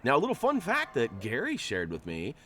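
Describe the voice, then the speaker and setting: A man's voice: medium pitch, huge pitch swings, brisk, a bit bright. A man with a nasal, stuffy voice from allergies, giving chatty, joking gameplay commentary as a channel host. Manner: chatty, joking, rambling asides.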